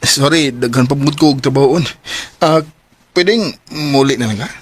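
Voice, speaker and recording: A man's voice: mid-range voice, moderate pace, slightly rough, close to an everyday voice. An actor playing a nervous young man who stammers and hesitates, in a Filipino radio drama with a Cebuano accent. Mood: awkward, evasive. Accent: Filipino, Cebuano-speaking. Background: background music.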